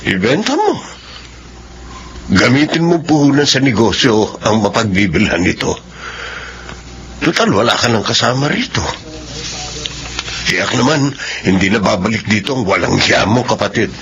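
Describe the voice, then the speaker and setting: A man's voice: on the low side, very animated, moderate pace, long pauses, fairly bright tone, muffled recording, slight background noise. A man acting a stern, protective, resentful middle-aged uncle in a Filipino radio drama, firm and advising. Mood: firm, scornful.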